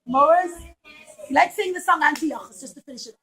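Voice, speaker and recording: Female children's storyteller, high voice, emphatic and repetitive delivery for a young audience. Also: fairly quick, fairly bright tone. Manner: emphatic, repeats phrases for children. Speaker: children's storyteller and puppet show presenter.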